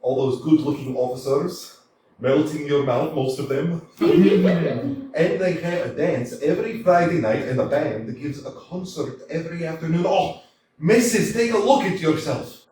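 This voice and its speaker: A man's voice: fairly high pitch, expressive, measured pace, warm tone, far from the mic. Man reading a blunt, folksy old servant. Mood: coaxing. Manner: chatty, teasing, down-to-earth. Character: blunt older male servant, coaxing and folksy. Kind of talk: staged reading of a stage play. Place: small community theater stage. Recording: echoey stage picked up from afar.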